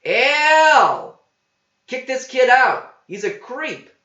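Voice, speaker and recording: Guy doing a brash young waitress lady, dismissive, higher pitch, echoey story reading. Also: big pitch swings, extremely slow, bright, forceful tone, exaggerated voice. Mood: outraged yelling. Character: brash diner waitress, sassy young woman. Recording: roomy, slightly dull home recording.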